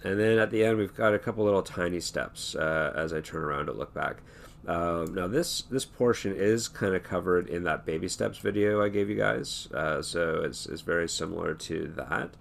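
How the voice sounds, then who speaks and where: A hoarse man talking through an animation tutorial in a relaxed, thinking-aloud way, with many fillers and restarts. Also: sits low, normal speed, slight background noise. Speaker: animation instructor. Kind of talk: screen-recorded tutorial talk.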